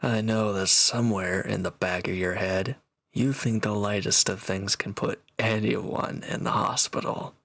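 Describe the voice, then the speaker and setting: A man playing a proud, teasing reformed villain and swordsman in an intimate audio roleplay, talking cockily to one listener in a gravelly voice. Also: on the low side, fairly even intonation, measured pace, fairly bright tone, no room sound, full character voice. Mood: defensive, dry.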